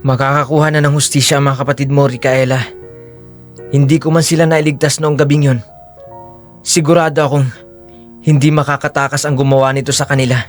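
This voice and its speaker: A man's voice: medium pitch, flat delivery, fairly quick, pauses often, soft background music, close to an everyday voice. A man playing an earnest, forgiving young hero in an audio drama, speaking sincerely and respectfully. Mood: tender, earnest.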